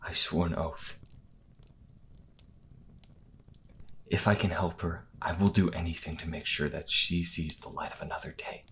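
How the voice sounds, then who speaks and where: Man acting low-voiced earnest young priest. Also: steady tone, fairly quick, a bit bright, slight background noise. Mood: solemn resolve. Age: young adult. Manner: hesitant, stammering, earnest. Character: earnest young priest healer, anguished and determined. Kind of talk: fantasy audio drama acting.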